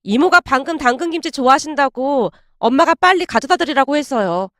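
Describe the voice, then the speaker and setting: A bashful young woman with a high voice, acted by a female narrator. She is courteous and considerate, speaking in a storytelling drama. The sound is dry. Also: animated, a little fast, fairly bright tone, no room sound. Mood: shy, polite.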